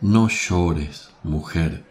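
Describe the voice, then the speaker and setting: Man as serene Jesus in a radio play, low and slow, echoey room with faint hiss. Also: a little mellow. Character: calm, serene Jesus, gentle authority. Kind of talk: radio drama acting.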